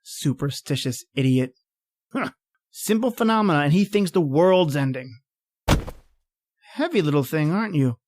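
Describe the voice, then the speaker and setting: A woman's voice: low-pitched, lively intonation, normal speed, pauses often, noisy background. A woman playing an impatient, rational professor in a radio horror play, with a theatrical, exclamatory manner. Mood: impatient, scornful. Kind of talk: old-time radio horror acting.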